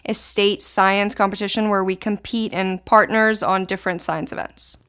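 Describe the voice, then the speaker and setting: A teenage young woman from Israel, a native Hebrew speaker, answering informal interview questions as a high school student. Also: steady tone, moderate pace, fairly bright tone, smooth. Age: teens.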